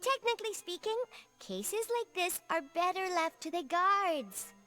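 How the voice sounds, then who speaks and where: A woman's voice: very high-pitched, very animated, unhurried, loud music behind the voice, heavily acted. An actress playing a small, fussy female creature who works as a court aide in a fantasy game, officious and easily flustered. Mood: flustered, pleading. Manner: exasperated, officious, stammering when flustered. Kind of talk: fantasy video game voice acting.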